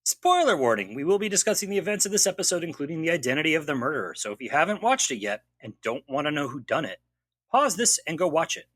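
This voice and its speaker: A man's voice: a little high, big pitch swings, fast talker, fairly bright tone, breathy edge, dry recording. A man hosting a podcast, giving a wry, jokey recap of a TV mystery episode. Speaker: podcast host. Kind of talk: scripted recap narration.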